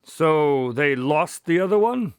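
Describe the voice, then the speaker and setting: An actor playing an earnest, inquisitive detective's sidekick in an audio drama, agreeable and full of questions. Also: male voice, fairly high pitch, lively intonation, takes it slowly, dry recording, studio quality. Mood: curious, earnest. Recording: clear background noise, vehicle sounds.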